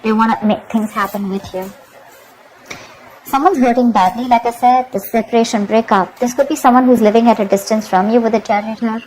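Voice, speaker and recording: A woman's voice: on the high side, steady tone, brisk, a little mellow, slight background noise, rough recording. A woman giving a tarot reading to her viewers, emphatic and repetitive, often checking in with "okay?". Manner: emphatic, repeats herself, keeps asking "okay?". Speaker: tarot reader.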